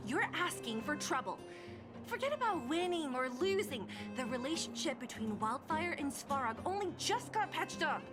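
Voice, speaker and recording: A woman's voice: sits high, sing-song, normal speed. A woman voicing a tough young female fighter in a video game, blunt, scolding and easily exasperated. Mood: alarmed, scolding. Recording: loud game music behind. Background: loud game music.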